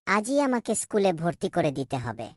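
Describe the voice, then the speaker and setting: A woman's voice: lowish voice, sing-song, moderate pace, no room sound, clean studio sound. A woman voicing an insistent young village boy in a cartoon, whining and pleading.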